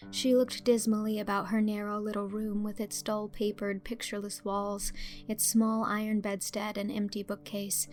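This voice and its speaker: A woman reading a literary story as an audiobook narrator, with expressive, clearly phrased storytelling. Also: medium pitch, normal speed, soft background music.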